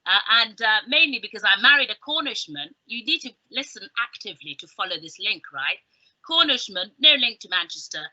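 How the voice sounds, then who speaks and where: A woman's voice: fairly low pitch, pushed and bright. A middle-aged woman with a southern English accent giving an informal, chatty careers talk as a guest speaker, with emphatic delivery and humorous asides. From southern England. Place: small indoor room with bookshelves, on a video call. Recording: slightly dull video-call sound.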